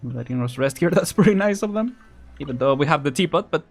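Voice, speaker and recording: A man's voice: fairly high pitch, animated, fast talker, vocal fry, soft background music. A young man giving casual live game commentary, musing and reacting as he plays. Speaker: game streamer.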